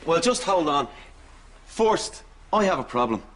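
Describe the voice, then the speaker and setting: A man's voice: a little high, big pitch swings, measured pace, a bit bright. A man acting an earnest, put-upon grown son with an Irish accent in a studio sitcom. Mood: earnest, fed up.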